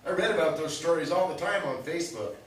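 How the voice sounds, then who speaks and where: In a very echoey, faintly noisy room, a man plays an older family father in a staged reading. His voice is high and swings widely as he blusters, defensive and oblivious. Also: animated, fast, a bit bright. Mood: earnest awe. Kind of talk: live staged play reading. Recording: very echoey room, distant mic, hiss.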